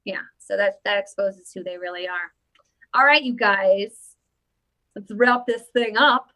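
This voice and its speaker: A woman's voice: medium pitch, unhurried, pushed and bright, no room sound, poor audio. A woman in her thirties hosting a casual political commentary show on a webcam, rambling with many restarts. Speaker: host.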